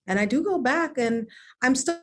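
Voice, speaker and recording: A woman's voice: sits high, lively intonation, moderate pace. Earnest woman designer, interview guest. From United States (Connecticut). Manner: earnest, repeats words while gathering thoughts. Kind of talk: reflective interview conversation.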